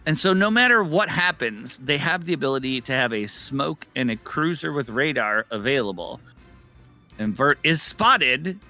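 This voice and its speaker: A man casting an esports match live, with excitable, exclamatory commentary on the play as it unfolds. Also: medium pitch, moderate pace, soft background music. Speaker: esports caster.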